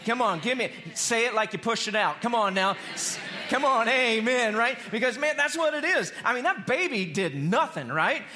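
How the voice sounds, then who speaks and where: High, bright-voiced man preaching conversationally. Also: normal speed, slight background noise. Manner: animated storyteller who acts out dialogue in slang; emphatic, repeats phrases for effect. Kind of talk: conversational sermon to a congregation.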